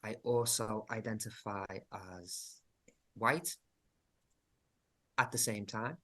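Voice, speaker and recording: A man with a Liverpool accent, a community arts director giving a thoughtful, halting talk over a video call with many pauses and fillers. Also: lowish voice, pushed and bright. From England. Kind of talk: online presentation talk.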